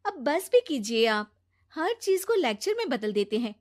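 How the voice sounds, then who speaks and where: A woman voicing a concerned young wife who coaxes and gently scolds in a cartoon moral story. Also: high-pitched, big pitch swings, normal speed. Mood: scolding.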